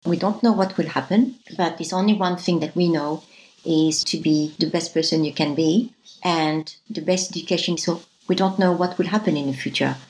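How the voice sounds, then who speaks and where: A woman's voice: low-pitched, normal speed, a little mellow, low-quality recording. A woman in her fifties, raised in France, telling her family story in an earnest, reflective way as an interview guest. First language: French. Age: 50s. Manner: starts many sentences with "so", earnest and reflective.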